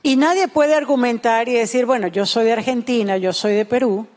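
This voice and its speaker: A woman's voice: on the high side, brisk, a little mellow. Earnest woman interpreting a sermon.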